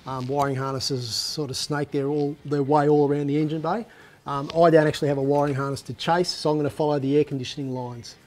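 A man's voice: fairly high pitch, fairly even intonation, fast talker, a little mellow, slight background noise. A man in his fifties with an Australian accent, presenting a casual how-to in a laid-back, matter-of-fact, colloquial way. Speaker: presenter of DIY car videos. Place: garage shed and inside a parked vehicle.

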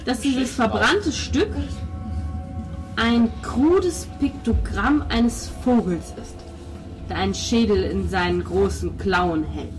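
Woman game master over clear background music. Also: mid-range voice, takes it slowly, rough recording. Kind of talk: narrating a tabletop role-playing game. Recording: loud music under the table talk.

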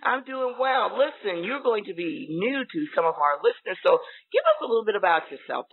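Gravelly, husky woman host on phone. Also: mid-range voice, very animated, fairly quick. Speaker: podcast co-host. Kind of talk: conversational interviewing. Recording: narrow, phone-like sound.

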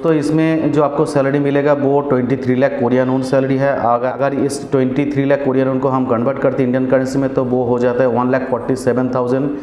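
A man in his forties, a channel host, explaining job openings to camera in a steady, repetitive way, with many fillers and restarts, on a lapel mic in a small office. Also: mid-range voice, quick pace, few pauses, highs cut off. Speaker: visa agent and channel host. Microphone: clip-on lapel mic. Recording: echoey office with faint room noise.

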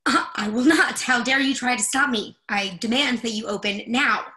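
A woman's voice: a little high, brisk, vocal fry, sharp tone. A woman in a play reading, playing a stressed, demanding professor with an emphatic, impatient manner. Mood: angry. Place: indoors at home, on a video call.

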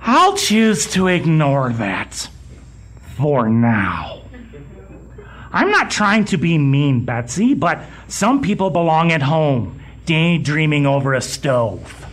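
A fussy little middle-aged boss in a comedic radio play. He is pompous and patronizing, drawing his words out slowly with big swoops in pitch and the odd flustered stammer. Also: very animated, a bit bright, slight background noise, exaggerated voice. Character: fussy, condescending, bald little museum boss. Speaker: museum supervisor. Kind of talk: comedic audio drama acting.

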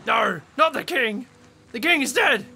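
An actor playing a frantic, wailing wheeled henchman creature with shrill, dramatic outbursts in an audio drama. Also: animated, unhurried, vocal fry, bright, forceful tone, slight background noise, full character voice. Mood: frightened, defiant. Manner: dramatic outbursts, stammering, cackling.

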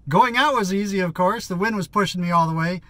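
A man's voice: sits high, quick pace, bright, forceful tone, dry recording. A tired man in his fifties chatting in a rambling, casual vlog from inside a parked vehicle, still catching his breath after a bike ride. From United States. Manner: rambling, chatty, self-correcting. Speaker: vlogger. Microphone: phone or action camera at arm's length.

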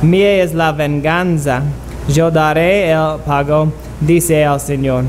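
A man preaching a sermon in a church, pausing in short phrases for an interpreter. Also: a little high, steady tone, darkish tone, a little hiss or hum. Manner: slow, halting, pausing for translation. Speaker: guest preacher. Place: church sanctuary.

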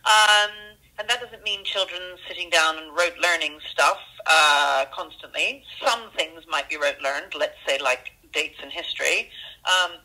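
A woman's voice: deep voice, measured pace, pushed and bright. A woman who grew up in Canada, a school headmistress, talking earnestly in a phone interview with many ums, from a school office. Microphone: telephone. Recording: phone-line audio.

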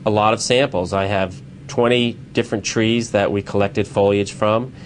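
A man with a fairly low voice talks through his research. His pace is unhurried and his explanations are patient, broken by small filler pauses. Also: steady tone, slight background noise.

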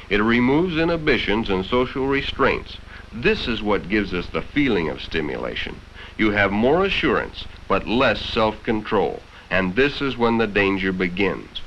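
A man's voice: fairly low pitch, fairly bright tone, a little hiss or hum. An American man narrating a 1960s educational film from a script in a stern, lecturing, condescending manner. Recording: dull vintage film soundtrack with music.

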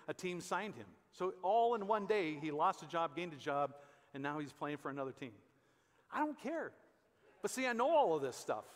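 A man in his fifties with an American accent and a high-pitched voice preaches in a conversational, emphatic way, repeating phrases for weight. He is a pastor on stage, and the long reverb of a large church hall surrounds his words. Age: 50s. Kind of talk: conversational sermon.

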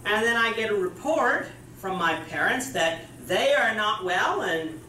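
A young man preaches a sermon, explaining each point with a lively, up-and-down voice. His voice echoes in a church meeting room, recorded from across the room with a faint hiss behind it. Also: high-pitched, unhurried. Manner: gestures broadly while preaching, explanatory. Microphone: far camera. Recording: roomy hall, distant mic.

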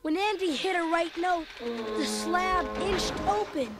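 A performer playing an excitable young boy who tells his adventure as animated, dramatic read-along storybook narration. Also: extremely high pitch, a little slow. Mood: excited storytelling. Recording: loud music under the voice. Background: loud dramatic music.

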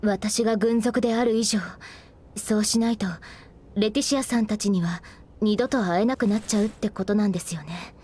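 A woman's voice: medium pitch, fairly even intonation, fairly quick, dark tone, husky, close to an everyday voice. A woman voicing a friendly young female officer of a space federation in a game cutscene, explaining things politely and earnestly. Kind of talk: video game cutscene voice acting.

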